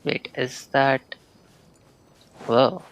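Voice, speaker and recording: Slow, gravelly-voiced man muttering while playing. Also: medium pitch, steady tone, slight background noise. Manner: muttering asides to himself, often near-whispered. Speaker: streamer. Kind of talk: live game commentary.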